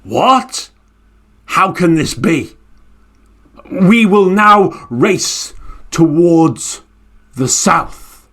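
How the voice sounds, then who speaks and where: A mighty, boastful giant bird, acted by a male storyteller, brags in a slow, drawn-out voice. The pitch still rises and falls as he declares his own power. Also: big pitch swings, a bit bright, exaggerated voice. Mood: indignant. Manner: proud, slow and booming.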